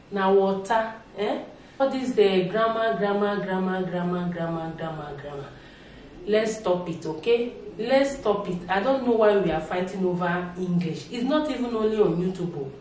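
A young woman from Nigeria with a Nigerian accent, talking to camera in an animated vlog. She repeats words for emphasis and mixes in Nigerian Pidgin. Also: mid-range voice. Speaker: YouTuber. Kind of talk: casual opinion vlog to camera. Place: indoors, living room with a sofa. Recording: echoey room, distant mic, faint background hiss.

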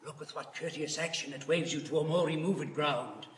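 An actor playing a wary, dutiful watch officer in classical Shakespearean stage drama. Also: male voice, on the high side, moderate pace, natural voice. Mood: wary, urgent. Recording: echoey, noisy 1960s stage recording.

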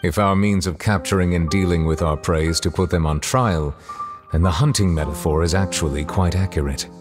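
A dignified, solemn male judge from a video game, voiced by an actor. The voice sits low and moves with formal deliberation, over clear background music. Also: fairly quick, lightly acted.

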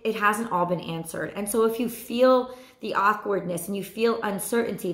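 A woman in her thirties with a hoarse voice, giving a chatty weekly tarot reading to a webcam at home. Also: medium pitch, reverberant. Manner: animated, repeats phrases, chatty asides. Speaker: tarot reader. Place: small room at home.

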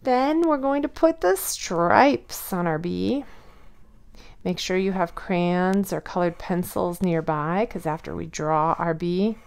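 A woman preschool teacher reading and instructing young children in an expressive, child-directed way. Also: fairly low pitch, slow, gravelly, clean tone. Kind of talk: children's read-aloud and drawing lesson.

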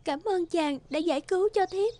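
A delicate young maiden of an animated fairy tale. Her voice is gentle and very high, over clearly heard music. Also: moderate pace. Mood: grateful, polite.

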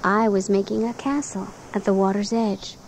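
A woman narrating a guided bedtime relaxation for children in a gentle, soothing, unhurried manner. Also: medium pitch, little pitch movement. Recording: clearly noisy recording with background ambience.

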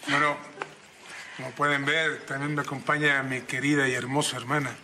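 A man's voice: medium pitch, little pitch movement, normal speed, noisy background, lightly acted. An actor playing a commanding, formal rancher devoted to his wife in a Spanish-language telenovela. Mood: proud, hosting. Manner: formal, authoritative. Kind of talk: telenovela acting.

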